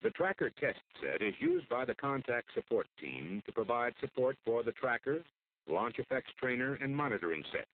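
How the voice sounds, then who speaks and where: An American man delivers formal, measured scripted narration for an instructional military training film. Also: medium pitch, slight background noise. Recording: muffled phone-like old film soundtrack.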